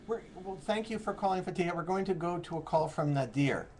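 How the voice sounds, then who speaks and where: A man's voice: high voice, fast talker, a little mellow. An American man hosting a radio call-in show casually, often stammering and restarting his sentences. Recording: clearly noisy live stream.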